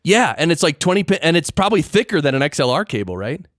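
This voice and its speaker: A man's voice: fairly high pitch, sing-song, quick pace, fairly bright tone, dry recording. A man hosting a podcast interview in casual conversation, explaining things informally and checking with questions, close on a studio microphone. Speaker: podcast host interviewing a guest. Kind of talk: casual interview chat about audio gear and production.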